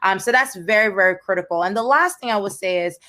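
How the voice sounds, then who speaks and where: A woman's voice: medium pitch, fast talker. A young woman with an American accent, speaking energetically as a panelist on a video call. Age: young adult. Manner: energetic, emphatic, repeats words.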